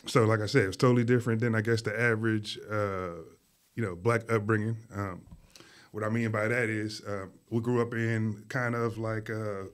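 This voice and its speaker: A man raised in Philadelphia, whose first language was Arabic, talking casually as a podcast guest in a school studio, telling his story in a relaxed way with many fillers. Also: fairly low pitch, normal speed. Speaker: guest, artist and art teacher.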